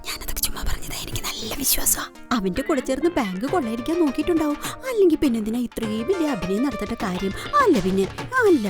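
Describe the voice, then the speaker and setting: High, wildly swooping cartoon gossip. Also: female voice, huge pitch swings, fast, few pauses. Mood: conspiratorial. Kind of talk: exaggerated cartoon voice acting. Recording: loud music under the voice.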